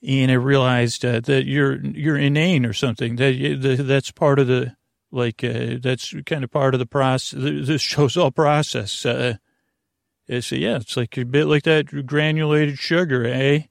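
A man hosting a bedtime podcast in a creaky voice, meandering hesitantly and often repeating and correcting himself. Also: medium pitch, fairly even intonation, unhurried, no room sound, clean studio sound. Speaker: podcast host. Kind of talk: rambling bedtime sleep podcast monologue.

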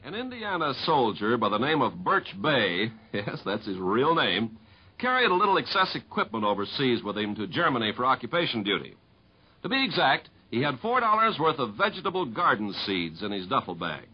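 A man's voice: medium pitch, sing-song, moderate pace, breathy edge. An American man working as a 1950s radio announcer, reading scripted narration. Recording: muffled vintage radio recording, faint music.

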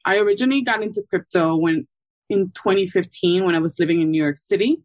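A woman from Ecuador, a guest calmly explaining her background in an interview. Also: lowish voice, fast talker, no echo. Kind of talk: casual interview answers.

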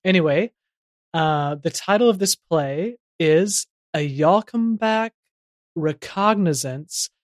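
A man's voice: a little high, animated, takes it slowly, no echo, clean studio sound. A man playing a hesitant, self-important small-town Z-list actor in a comedy audio drama, often stammering. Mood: earnest, flustered. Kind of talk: improvised-sounding comedic audio drama dialogue.

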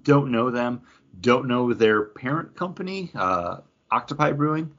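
A man with an average-pitched voice talks as a podcast host reviewing things he likes. He is informal and emphatic, with open enthusiasm in a casual chat style. The audio is a bit dull and lo-fi. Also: animated, a little slow.

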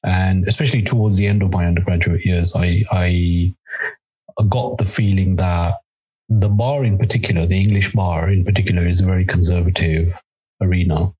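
Deep husky-voiced academic man, hesitant. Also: fairly even intonation, normal speed, dark tone, rough recording. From Kenya. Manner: hesitant, many restarts and fillers. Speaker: law academic, interview guest. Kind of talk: thoughtful conversational answers.

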